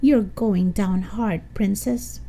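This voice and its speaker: A woman's voice: mid-range voice, slow pace, creaky, mellow. A woman voicing a brash, tough schoolgirl with a sneering, punchy, emphatic delivery, as in a storybook reading. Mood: taunting.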